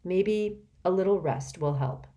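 A calm, steady boy leader who reasons things out in an even, measured way, voiced by a woman reading a storybook aloud. The voice stays close to the reader's own lowish tone. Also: natural voice. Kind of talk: audiobook character voice.

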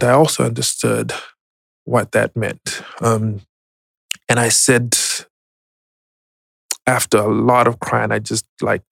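A man tells a personal story as an interview guest, reflective and hesitant, pausing often. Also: medium pitch, gravelly, fairly bright tone, dry recording. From Zimbabwe. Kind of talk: personal testimony, conversational storytelling.

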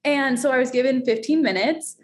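Bubbly young woman, higher voice. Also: a little fast. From Florida. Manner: chatty and bubbly, laughs often. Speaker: radio host and steel drum player. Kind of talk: casual interview chat.

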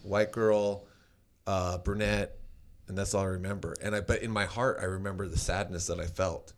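A man with a very low, deep voice talks loudly and casually. He rambles through stories, restarting his sentences and leaning on fillers as he goes. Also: fairly even intonation, normal speed. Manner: loud voice. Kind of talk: casual conversation, storytelling.

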